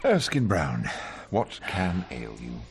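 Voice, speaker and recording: A wry English defence barrister in a radio drama. His low voice swings expressively as he talks. He is eloquent, dry and a touch theatrical. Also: wildly swooping pitch, slow, full character voice. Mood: wry narration. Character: sharp-witted, wryly grandiloquent English defence barrister. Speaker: narrator and lead character. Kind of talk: radio drama narration and dialogue.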